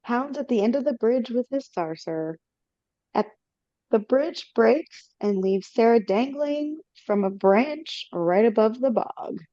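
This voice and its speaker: A woman's voice: a little high, animated, slow pace, mellow, no room sound. Woman narrator, casual reading, self-corrections, dry dull lo-fi video call audio. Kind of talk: reading stage directions aloud.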